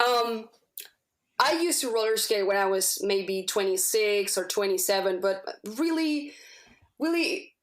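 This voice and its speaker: A woman's voice: medium pitch, lively intonation, unhurried. A woman whose first language is Spanish, a podcast guest giving a personal testimonial, earnest and often searching for words as she repeats herself. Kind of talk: personal testimonial in casual conversation.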